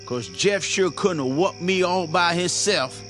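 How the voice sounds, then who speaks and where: An actor playing a hot-headed young country man in a radio drama, bossy and gruff, with a rural Southern US accent. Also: high-pitched, a little slow, fairly bright tone, soft background music. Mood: angry, bossy.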